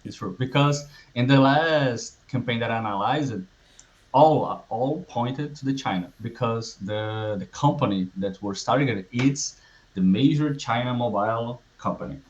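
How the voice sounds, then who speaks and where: A man who learned as a talks slowly through a conversational interview, often stopping and restarting his sentences. His voice sits at an ordinary middle pitch. The audio is dull and lo-fi, like a remote call. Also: a little hiss or hum. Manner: slow, halting, with restarts. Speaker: guest malware analyst.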